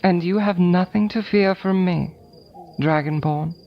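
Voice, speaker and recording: Woman doing a cold villainous lady, gravelly voice, slow and measured, muffled with soft music. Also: lowish voice. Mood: composed. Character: cold commanding noblewoman villain. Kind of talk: audiobook drama acting.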